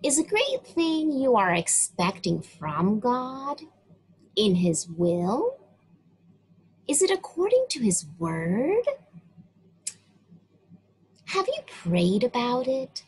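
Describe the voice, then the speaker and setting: A woman reading a Bible story aloud to children, talking to them directly in a slow, sing-song way. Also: fairly high pitch, huge pitch swings, slight background noise, low-quality recording. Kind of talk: read-aloud story lesson for kids.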